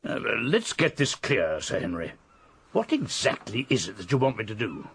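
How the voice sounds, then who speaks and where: A brisk English police colonel in a radio drama. He is clipped and hearty, with a very husky voice and a wide, lively swing of pitch. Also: heavily acted. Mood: brisk, wry.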